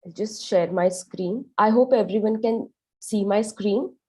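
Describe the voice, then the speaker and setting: Young Indian-accented woman, sore-throat harshness, mid-pitched, lecturing calmly on a video call. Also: measured pace, slightly rough. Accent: Indian English. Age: young adult. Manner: explanatory, with "um" and "you know" fillers. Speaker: guest radiologist presenting. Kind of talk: medical presentation.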